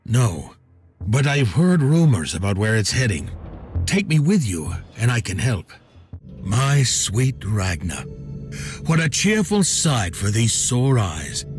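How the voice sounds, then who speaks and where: An actor playing a boisterous, hard-drinking old rogue of a starship captain in a sci-fi video game, theatrical and mock-courteous. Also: male voice, medium pitch, very animated, takes it slowly, faint music behind. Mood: eager, fond.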